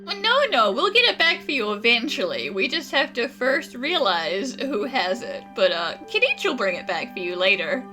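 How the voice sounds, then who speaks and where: Bright, chirpy little companion. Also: female voice, a little high, very animated, moderate pace, few pauses, faint music behind. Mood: reassuring. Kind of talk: video game character acting.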